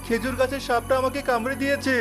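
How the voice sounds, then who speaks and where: A bewildered, easily frightened village father, played by a man. His voice is high for a man, with a melodramatic cartoon delivery over clear music. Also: flat delivery, fast talker. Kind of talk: cartoon story dubbing. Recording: music bed under the dialogue.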